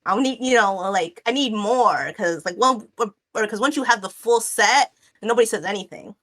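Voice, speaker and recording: A woman's voice: fairly high pitch, normal speed, a bit bright. Chatty woman in her late twenties. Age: 20s. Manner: chatty, rambling, many fillers and restarts. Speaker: guest artist. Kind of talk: casual chat.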